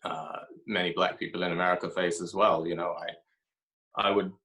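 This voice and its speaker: A man, an author being interviewed, answering in a reflective way with frequent pauses and restarts; he grew up in Haiti and Ethiopia. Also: low-pitched, level tone, bright, forceful tone.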